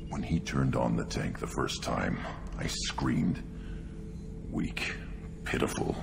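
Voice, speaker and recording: A slow, extremely gravelly alien brute. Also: male voice, a bass voice, animated, over music, heavily acted. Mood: brooding, contemptuous. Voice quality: guttural, growling. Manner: terse, fragmented, brooding. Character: hulking young tank-bred alien brute warrior, blunt and scornful. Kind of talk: video game dialogue acting.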